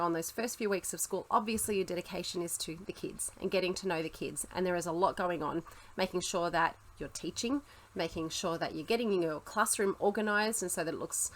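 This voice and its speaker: A woman talking to camera in a casual, reassuring advice vlog for teachers, chatty with the odd laugh. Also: mid-range voice. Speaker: teacher vlogger.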